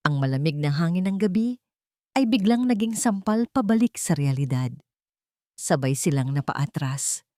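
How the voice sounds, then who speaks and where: A Filipino woman reads a dramatic romance in a fairly low voice. Her storytelling is measured and expressive, and the sound is close and dry, with very little room. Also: no room sound. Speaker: narrator of romance stories. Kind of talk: scripted dramatic audio story narration.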